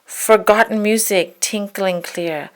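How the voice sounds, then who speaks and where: A woman reading a spooky children's poem aloud in a dramatic, hushed storytelling manner, stretching words and dropping into whispers. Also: mid-range voice, fairly even intonation, takes it slowly. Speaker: storybook reader.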